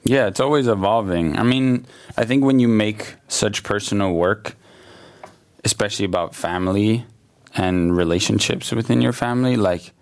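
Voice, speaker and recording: A young man in a very low, gravelly voice. He talks casually in an interview, stopping and restarting often and leaning on fillers. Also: moderate pace. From Germany, raised in the USA. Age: late 20s. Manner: hesitant, many fillers and restarts. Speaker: artist, guest. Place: exhibition hall.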